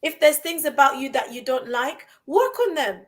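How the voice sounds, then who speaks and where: A woman's voice: sits high, animated, moderate pace. A woman coach speaking as a podcast guest, emphatic and repeating her key phrases for stress. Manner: frequent restarts and fillers. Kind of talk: casual conversation.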